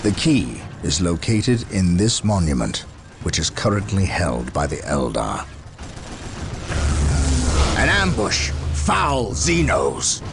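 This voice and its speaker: A man's voice: low voice, expressive, measured pace, creaky, music in the background, heavily acted. A man voicing a stern, righteous warrior commander in a video game, with an earnest, clipped, commanding delivery. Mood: urgent, commanding. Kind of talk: dramatic military game acting.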